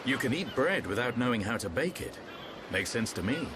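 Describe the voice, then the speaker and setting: A seasoned warrior from a fantasy game, acted by a man. He is level-headed and wry, mid-pitched with wide melodic movement, over clear background noise. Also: very animated, a little fast, lightly acted. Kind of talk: video game cutscene acting.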